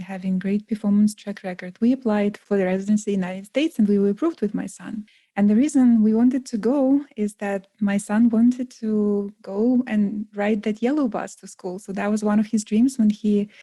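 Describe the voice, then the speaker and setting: A woman from Ukraine speaks with a Ukrainian accent, her English careful and earnest. Her voice is mellow and relaxed rather than bright. The sound is close and dry, with no room around it. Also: medium pitch, dry recording. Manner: earnest, careful non-native phrasing. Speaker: podcast producer. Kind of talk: podcast guest telling her story.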